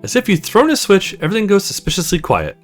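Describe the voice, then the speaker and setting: A man's voice: high-pitched, huge pitch swings, fast. A man hosting a gaming video, narrating a visual novel aloud and adding his own casual comments. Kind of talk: let's play narration, reading a story aloud. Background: soft guitar music.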